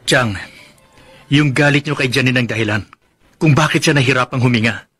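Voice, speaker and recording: A protective young husband in a radio drama. His mid-pitched voice is earnest and pleading, that of someone trying to keep the peace. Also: fairly quick, fairly bright tone, slightly rough, no room sound, faint music behind. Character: earnest, protective young husband caught between wife and aunt. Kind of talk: Filipino radio drama acting.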